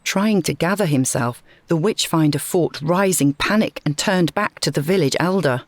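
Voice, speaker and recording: Low-pitched woman narrating, very dry sound. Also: normal speed, no echo. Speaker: story narrator. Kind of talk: scripted dramatic narration.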